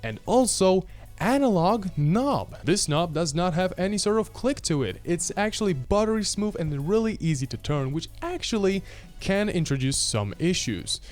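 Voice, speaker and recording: A man's voice: sits high, big pitch swings, moderate pace, a little mellow, soft background music. A man in his twenties with a Polish accent, reviewing a product casually to camera with informal, wry asides. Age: 20s. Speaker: video host, product reviewer. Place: small indoor room. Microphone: handheld microphone held near the chest.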